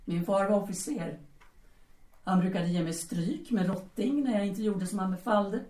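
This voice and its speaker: A woman acting provocative lady writer, frank and teasing, mellow tone, echoey room. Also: medium pitch, recorded from a distance, lightly acted. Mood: confiding. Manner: teasing, direct. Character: provocative, frank, self-possessed female writer in 1890s Paris. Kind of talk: Swedish audio drama acting.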